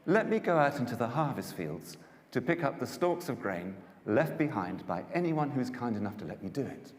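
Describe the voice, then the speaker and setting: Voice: medium pitch, big pitch swings, a little fast, lightly acted. A man voicing a devoted, resolute young woman in a Bible dramatisation, solemn and rhythmic. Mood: polite. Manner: solemn, rhythmic, rising and falling. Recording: echoey hall, long reverb.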